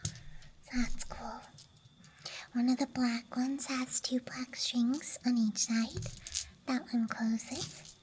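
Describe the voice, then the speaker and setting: A woman speaking in a breathy, mostly unvoiced ASMR whisper, rambling gently and softly close to the microphone. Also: a little high, fairly even intonation, slow, pauses often, a little hiss or hum, poor audio. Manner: soft, hushed, gentle rambling. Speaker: ASMR streamer. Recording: night nature ambience, clicking and rattling sounds. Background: night campground ambience, dice and case clicks.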